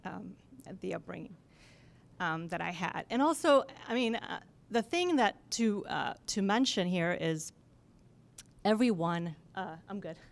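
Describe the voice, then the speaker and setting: Woman, panel speaker, gravelly fry, halting but candid delivery. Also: lowish voice, expressive. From Poland. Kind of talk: personal story at a talk. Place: office event space with audience.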